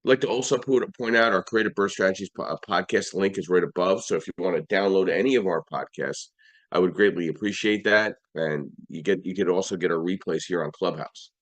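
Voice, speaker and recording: A man hosting a live audio-room podcast, his voice a little hoarse, running through sponsors and lists in an upbeat way with frequent restarts. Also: sits low, brisk, fairly bright tone. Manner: upbeat, list-like delivery with restarts. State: slightly hoarse. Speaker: podcast host.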